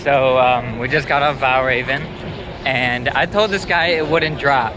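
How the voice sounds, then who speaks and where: A man's voice: medium pitch, measured pace, crowd noise, background noise. A man giving casual running commentary into a phone camera while out at an amusement park. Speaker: vlogger at a theme park. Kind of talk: casual chat and walk-through commentary. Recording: outdoor phone recording with music behind.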